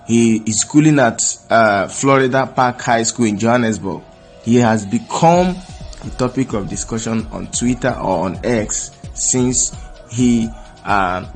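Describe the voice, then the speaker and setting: A man with a Nigerian accent delivers forceful news commentary, pressing and repeating his points. His voice sits at an ordinary pitch, and soft music plays faintly behind him. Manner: emphatic, insistent, repeats points.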